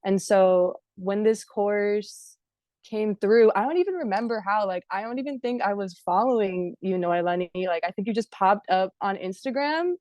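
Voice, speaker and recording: A woman's voice: medium pitch, normal speed, a little mellow, no echo. A woman from Hawaii chatting casually on a video call, using lots of filler words and laughing now and then. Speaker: course participant.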